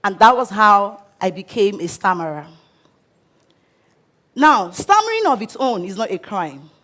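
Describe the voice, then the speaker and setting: A woman with a Nigerian English accent giving an inspirational storytelling talk on stage, expressive and dramatic. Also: mid-range voice, measured pace. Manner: expressive, dramatic, acts out quotes. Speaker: speaker. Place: auditorium stage.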